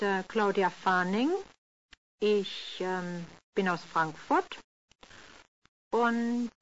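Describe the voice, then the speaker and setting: A woman playing a young school leaver who is unsure of her plans, speaking slowly with hesitant fillers, on a lo-fi recording with faint hiss. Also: mid-range voice, a bit bright. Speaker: role-play speaker. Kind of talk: scripted language-course role-play.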